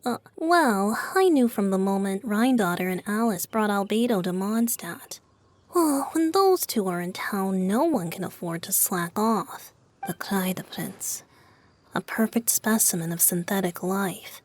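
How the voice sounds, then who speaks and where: A woman's voice: mid-range voice, lively intonation, unhurried, close to an everyday voice. A woman voicing a playful, whimsical boyish wind-god bard in a video game, airy and theatrical. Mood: measured. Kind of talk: English video game dub. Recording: music behind the voice.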